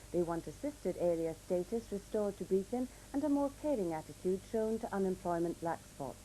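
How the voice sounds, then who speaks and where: A woman reading regional news headlines and weather from a script in a formal, measured manner. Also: mid-range voice, dark tone. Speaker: newsreader. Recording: noisy old VHS off-air tape.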